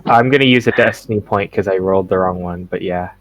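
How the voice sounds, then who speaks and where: A man's voice: low voice, fast, low-quality recording. A man taking part in a tabletop game, talking casually and asking simple questions. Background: background music.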